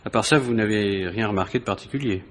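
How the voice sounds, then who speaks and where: A man's voice: on the low side, quick pace, slight background noise, close to an everyday voice. An actor playing a put-upon family-man police inspector in a 1950s-style French radio crime drama, matter-of-fact and grumbling. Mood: businesslike.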